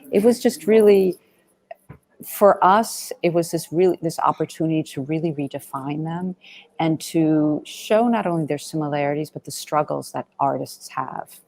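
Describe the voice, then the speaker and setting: A woman with a deep voice and plenty of vocal fry gives interview answers as a filmmaker. She sounds earnest and conversational, halting and rephrasing as she goes. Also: a little mellow. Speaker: documentary film director being interviewed.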